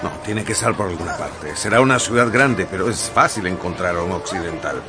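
An actor playing an urgent, commanding gentleman traveller in a radio drama, emphatic. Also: low voice, brisk, lightly acted. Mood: perplexed, insistent. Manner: emphatic, swinging from muttering to shouted orders. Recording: music behind the voice.

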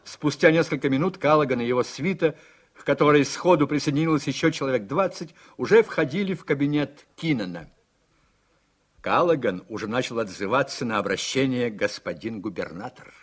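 A man narrating a political radio drama in a measured, literary storytelling manner, as an actor. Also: medium pitch, expressive, natural voice.

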